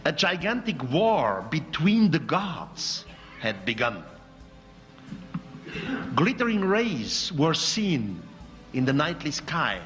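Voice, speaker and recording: A man with a Swiss German accent gives a lecture, his voice fairly high and very animated as he works through his English with small slips. The sound carries a long hall reverb, with faint music underneath. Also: takes it slowly, long pauses. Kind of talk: public lecture.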